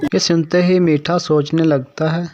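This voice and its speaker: A man's voice: on the high side, steady tone, measured pace, very noisy. A man reading scripted narration for a cartoon story. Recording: dry, slightly dull sound.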